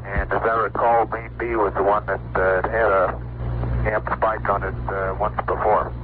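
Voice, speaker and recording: A man speaking as an astronaut in calm, matter-of-fact procedural reports over a spacecraft radio link. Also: fairly low pitch, normal speed. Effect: spacecraft radio transmission. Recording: noisy narrow-band archival space radio.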